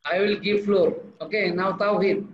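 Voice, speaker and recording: A man's voice: high-pitched, steady tone, moderate pace. Male teacher-moderator, Bangladeshi accent, on a muffled call with some background noise. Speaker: teacher moderating an online class discussion. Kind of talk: moderating and introducing speakers. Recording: echoey, muffled, noisy call.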